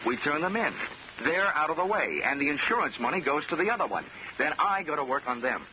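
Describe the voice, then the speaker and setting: An actor playing a wry, unflappable insurance investigator who narrates a 1940s radio drama with dry wisecracks. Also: male voice, fairly high pitch, very animated, fast talker, highs cut off, slight background noise. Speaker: narrator and lead character.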